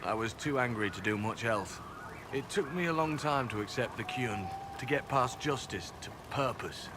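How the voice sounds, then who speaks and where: A man's voice: medium pitch, animated, moderate pace, lightly acted. A man playing a guarded elf spy and former slave in a video game, speaking dryly and earnestly. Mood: earnest, reflective. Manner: dry, matter-of-fact, quietly earnest. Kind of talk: video game cutscene acting. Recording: game capture with loud ambient background noise.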